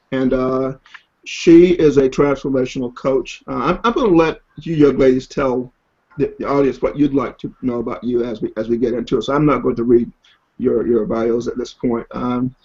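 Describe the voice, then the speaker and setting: Halting man on dull webinar audio. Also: medium pitch, warm tone, breathy edge. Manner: halting, ad-libbed delivery. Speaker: webinar host. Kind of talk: informal webinar hosting, introducing guests. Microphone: online webinar connection.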